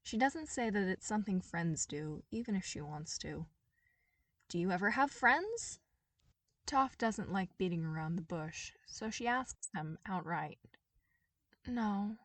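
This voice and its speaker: A woman reading a story aloud as an amateur audiobook narrator, in an expressive style. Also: fairly low pitch, normal speed, hardly any pauses, dry recording, recorded from a distance.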